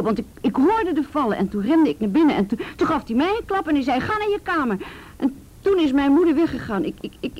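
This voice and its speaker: A woman's voice: high-pitched, a little mellow, lo-fi sound. An actress playing a frightened teenage girl in a Dutch radio play, stammering and repeating words as she recounts painful memories.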